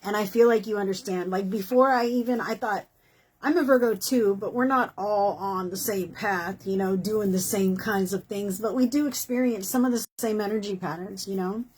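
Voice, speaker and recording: A woman reading tarot horoscopes in a casual, encouraging way, her voice worn and hoarse from doing many readings. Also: medium pitch, fairly even intonation, normal speed, darkish tone, rough recording. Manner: chatty, encouraging, many fillers like "you know" and "okay". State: losing her voice. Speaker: tarot reader.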